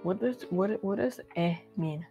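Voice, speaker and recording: A woman's voice: medium pitch, measured pace, creaky, warm tone, faint music behind. A woman chatting casually as a player in an improvised game roleplay. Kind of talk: casual gaming roleplay chat.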